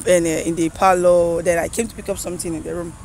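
A woman's voice: on the low side, level tone, fast talker, a little mellow, a little hiss or hum. A woman in her twenties with a Nigerian accent, chatting candidly as a guest in a street interview. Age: 20s. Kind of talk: candid casual chat. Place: outdoors on a park path.

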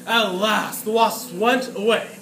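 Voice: medium pitch, fairly even intonation, slow pace, creaky, a bit bright. A performer telling a scripted story in readers theatre, with a projected, emphatic stage delivery. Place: classroom, indoors. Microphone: distant camera. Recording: echoey classroom from far, faint noise.

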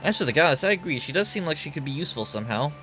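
A man's voice: on the high side, big pitch swings, rapid-fire, fairly bright tone, soft background music. A man voicing a cheerful, easygoing young male swordsman in a game. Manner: easygoing, friendly.

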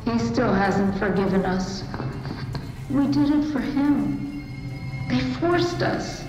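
A woman's voice: fairly high pitch, animated, slow pace, highs cut off. A woman playing an unhinged, condescending, bitter underground scientist in a video game, speaking theatrically. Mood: haunted, anguished. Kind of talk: dramatic video game acting. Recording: very echoey hall, music behind.

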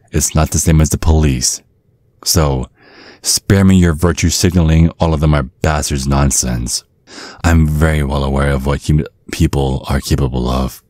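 A man plays a genteel, menacing old vampire dad in an ASMR roleplay. His voice is extremely low and recorded very dry and close. He talks down to his daughter's suitor with polished, sly threat. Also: a little mellow, no room sound. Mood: sly menace. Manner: slyly threatening, condescending, mock-polite. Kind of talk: ASMR roleplay, scripted monologue.

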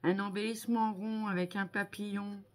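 A woman in her fifties chatting casually to viewers as a craft vlogger, effusive and appreciative, exclaiming often and repeating words haltingly, recorded on a phone indoors at home. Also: mid-range voice, flat delivery. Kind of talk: casual unboxing chat to viewers. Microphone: phone on a tripod at arm's length.

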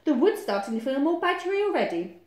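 A woman's voice: high-pitched, very animated, a little mellow, pitched above the performer's own voice. A woman voicing a bossy, self-important male badger in a children's storybook, speaking emphatically. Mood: dismissive. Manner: emphatic, chanting, drawn-out bossy delivery. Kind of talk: children's storybook read-aloud. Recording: echoey room.

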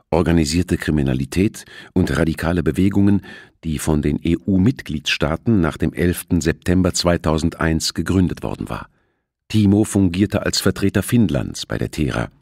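Deep-voiced man, lively audiobook narrator. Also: breathy edge, no echo, studio quality. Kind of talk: scripted novel narration with acted dialogue.

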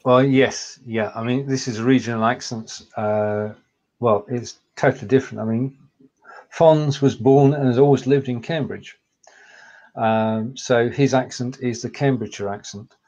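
A man's voice: mid-range voice, steady tone, measured pace, a little mellow, lo-fi sound. An older man with a Lancashire-Cumbrian hybrid accent, co-hosting a casual video call and explaining things methodically. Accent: Lancashire and Cumbrian hybrid English. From England. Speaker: co-host. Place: home room with pictures on the wall. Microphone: webcam or desk mic on a video call.

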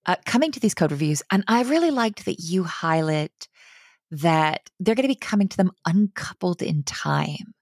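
A woman in her thirties, a guest speaking on a video call from a home room, talking with animated enthusiasm in quick bursts. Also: on the low side, dry recording, clean studio sound. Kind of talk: casual conversation.

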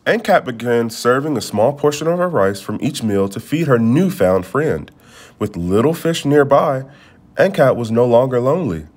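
A man reading a children's storybook aloud, with measured, expressive phrasing. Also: medium pitch. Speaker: storybook reader. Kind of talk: read-aloud storybook narration.

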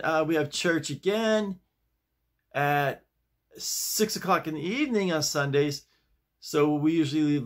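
An American man in his sixties chatting casually to camera in a halting, digressive way, often repeating his phrases. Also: fairly high pitch. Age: 60s. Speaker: vlogger. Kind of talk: rambling casual chat to camera. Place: small home office, indoors.

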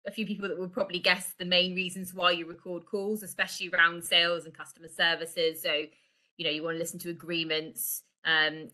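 A middle-aged woman presents a product in a conversational, explanatory way on a video call. Her voice sits a little low for a woman but has a bright, forward edge. The delivery is informal, like an online sales walkthrough. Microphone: video call microphone.

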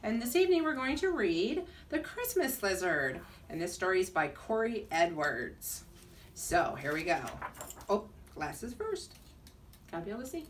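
A middle-aged woman teacher reading a children's storybook aloud in an expressive way. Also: mid-range voice, moderate pace, a little hiss or hum. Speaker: second grade teacher reading a bedtime story. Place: small school room, indoors. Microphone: camera a few feet away. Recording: distant mic in a room.

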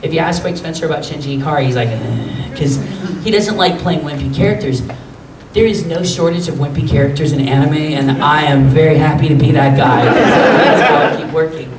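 A man with a high, boyish voice talks to a convention crowd in an animated, joking way. He sits at a table mic in a meeting room. The recording is roomy and noisy, picked up from a camera well back in the hall. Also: brisk, distant mic. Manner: animated, jokey storytelling with bursts of laughter. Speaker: voice actor at a convention panel. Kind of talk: casual panel talk answering fan questions. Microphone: table mic on stand.

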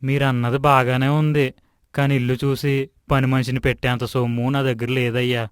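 Humble villager for a cartoon, played by a man: smooth clear voice, mid pitch, deferential delivery. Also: little pitch movement, normal speed, no echo, studio quality, close to an everyday voice. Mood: puzzled. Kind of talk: animated moral story acting.

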